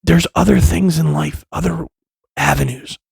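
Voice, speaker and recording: A man in his thirties talks straight to the listener in a loud, raspy, gravelly voice, profane and fired up, circling back on himself with false starts. His pitch sits a little high for a man, and the sound is close and dry. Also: normal speed, dry recording. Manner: rambling, profane, impassioned, with many restarts. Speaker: vlogger, father. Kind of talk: casual monologue to camera.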